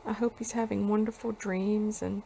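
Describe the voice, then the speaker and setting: A woman voicing a tender, worried wife and mother in a motion comic, with soft emotion. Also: medium pitch, level tone, moderate pace, creaky, a little hiss or hum, lightly acted, rough recording. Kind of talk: comic book voice acting.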